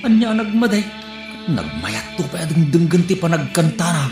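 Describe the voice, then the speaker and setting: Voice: mid-range voice, sing-song, normal speed. A performer with an Ilocano accent acting in a comedy sketch, bantering and teasing. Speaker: comedic actor. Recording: echoey room with music underneath.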